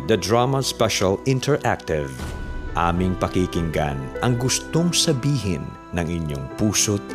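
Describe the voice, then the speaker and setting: Male announcer, low pitch, lively swooping delivery, scripted promo, music underneath. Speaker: station announcer.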